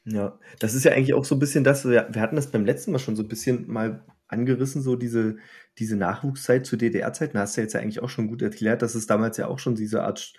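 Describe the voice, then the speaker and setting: A man's voice: mid-range voice, steady tone, quick pace, a little mellow, breathy edge. A man hosting a casual interview, asking long, friendly questions and nudging the guest on with short replies. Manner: friendly, encouraging with short backchannels. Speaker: interviewer, host.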